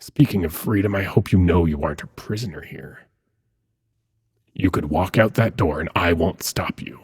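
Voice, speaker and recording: A man playing an ancient, seductive demon prince in an intimate ASMR roleplay, hushed and coaxing, with dramatic pauses and a husky, breathy voice. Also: deep voice. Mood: calm, amused. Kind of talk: ASMR roleplay, intimate second-person monologue.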